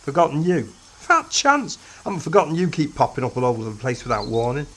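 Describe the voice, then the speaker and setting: A reader puts on a blunt, sardonic male time-traveller with a gruff, offhand delivery. His voice sits a little higher than a typical man's, with a soft hiss in the background. It suits a storybook reading. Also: animated, fast talker. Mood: dry. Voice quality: gruff, casual, dry.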